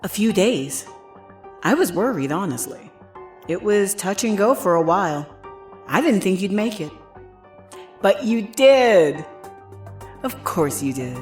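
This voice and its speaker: A woman's voice: mid-range voice, sing-song, slow pace, pauses often. A woman playing a guarded, scheming nurse who narrates her revenge tale in an intimate, suspenseful audio drama style. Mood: knowing, soothing. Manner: intimate, suspenseful, acted delivery. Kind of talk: dramatic first-person audio fiction narration. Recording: dramatic music behind the voice.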